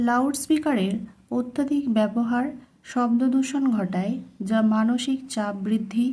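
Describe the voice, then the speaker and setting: A woman with a fairly high, soft-toned voice reads like a tutor giving dictation. Her pace is extremely slow, and she sets out each phrase deliberately, word by word. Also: low-quality recording. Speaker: English tutor. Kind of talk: slow dictation-style bilingual reading of a model letter.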